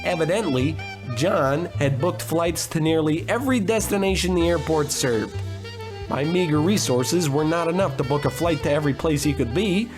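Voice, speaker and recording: A man's voice: on the high side, normal speed, over music, close to an everyday voice. A man playing a hapless, hard-drinking, cocky private detective in a comedic old-time radio noir drama, given to wisecracks and melodramatic monologue. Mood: grave, melodramatic. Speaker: narrator and lead actor.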